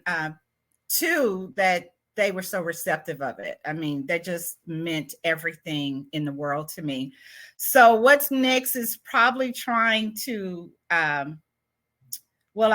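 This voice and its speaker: A woman's voice: medium pitch, bright, forceful tone. A woman writer answering interview questions, reflective and a bit self-doubting, with frequent fillers and light laughs. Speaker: award-winning writer, guest.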